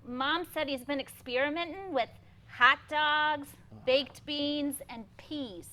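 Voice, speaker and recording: A woman's voice: high-pitched, slow, exaggerated voice. A woman playing a playful, teasing 1950s hairdresser wife in a conversational staged play reading. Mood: chatty, playful. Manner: teasing, expressive.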